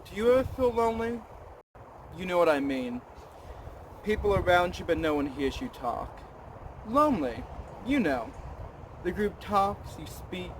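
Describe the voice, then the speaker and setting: A man's voice: high voice, rough recording. A young adult man talking casually to an audience in a rambling, self-deprecating way. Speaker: vlogger. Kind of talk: casual chat to camera. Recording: clear background noise.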